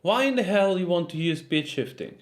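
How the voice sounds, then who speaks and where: A man's voice: high-pitched, lively intonation, brisk, slight background noise. A man who is a non-native English speaker, hosting an informal sound design tutorial in a joking, self-deprecating manner. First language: not English. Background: music.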